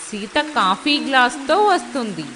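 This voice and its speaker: A woman's voice: on the high side, animated, normal speed, pushed and bright, soft background music. A woman reading scripted narration for a moral story.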